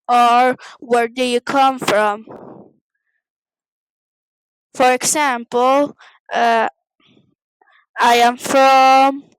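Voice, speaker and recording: A woman with a high voice teaches a basic language lesson at a very slow pace. She uses simple instructional phrases and repeats words as she goes. The sound is dry and close, with no room echo. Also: smooth. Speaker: English teacher. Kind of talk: informal screen-share language lesson narration.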